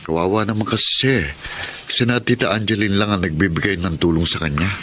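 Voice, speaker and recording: Man playing sympathetic young attorney son, deep voice, earnest, radio drama, clear background noise. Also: quick pace, lightly acted. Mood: earnest, pitying. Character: soft-hearted male attorney, compassionate and dutiful son. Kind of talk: Tagalog radio drama acting.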